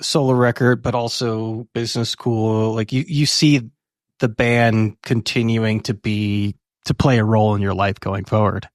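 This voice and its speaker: A man's voice: on the low side, fairly even intonation, unhurried, no echo, studio quality. Man asking rambling interview questions. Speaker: podcast host.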